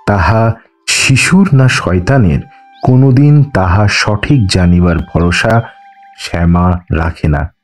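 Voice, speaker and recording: Male narrator for a literary audiobook, lowish voice, formal and measured storytelling, soft music underneath.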